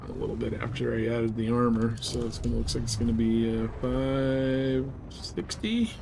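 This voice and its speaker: A man's voice: medium pitch, moderate pace, creaky, noisy background. A man giving casual live commentary on a game, chatting and thinking aloud. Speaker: game streamer.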